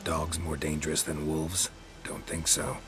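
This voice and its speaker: An actor playing a gruff, world-weary and terse monster hunter in a video game, speaking in a deadpan, gravelly murmur. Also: male voice, rumbling low, measured pace, music in the background. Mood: dry, terse. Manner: deadpan, terse, clipped sentences.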